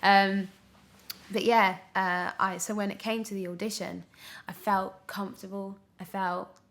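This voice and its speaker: A young woman chatting casually as an interview guest, telling her story in an animated, expressive way. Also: medium pitch, unhurried. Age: young adult. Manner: animated storytelling, acts out her own past thoughts. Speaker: podcast guest, radio drama actor.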